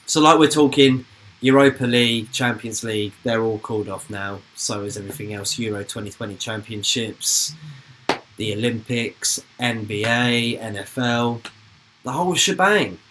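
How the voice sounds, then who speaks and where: A man's voice: mid-range voice, moderate pace, fairly bright tone, a little hiss or hum, low-quality recording. A young man, hosting a football channel's live stream in a rambling, informal chat that slips into casual swearing.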